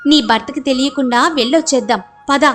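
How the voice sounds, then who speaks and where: A woman voicing a helpful, conspiring neighbour who coaxes briskly in a story. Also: sits high, faint music behind, close to an everyday voice. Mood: coaxing.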